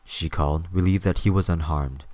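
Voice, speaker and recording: Deep-voiced male story narrator. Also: normal speed, darkish tone, no echo.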